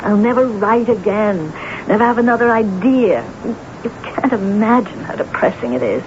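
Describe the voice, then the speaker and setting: A woman's voice: medium pitch, expressive, moderate pace, a little mellow, close to an everyday voice. An actress playing a vain, moody middle-aged woman novelist in a theatrical radio drama style. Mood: weary, gloomy. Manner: theatrical, self-important. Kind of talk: radio drama acting with first-person narration. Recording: noisy, dull old radio broadcast.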